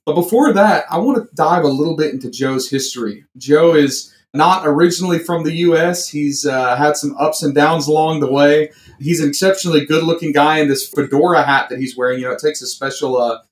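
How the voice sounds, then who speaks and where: A man hosting a podcast, introducing his guest with a friendly, joking manner. His fairly high voice sounds roomy and distant, as if he sits back from the mic in a makeshift studio. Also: fairly even intonation, fast talker. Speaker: podcast host. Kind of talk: casual interview hosting. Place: backup studio. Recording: echoey room, mic at a distance.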